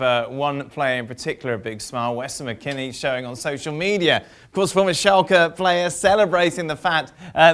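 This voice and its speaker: A man presents a football show in a voice pitched a little high. He links the topics and hands questions over to his guests in a studio presenting style. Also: a bit bright, clear, resonant tone. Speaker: host.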